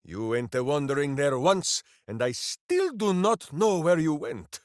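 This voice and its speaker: An actor playing a big-hearted, dim-witted heroic ranger in a video game, bombastic and earnest, speaking of himself in the third person. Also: male voice, fairly high pitch, very animated, unhurried, no echo, studio quality. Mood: boisterous. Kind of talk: video game character voice lines.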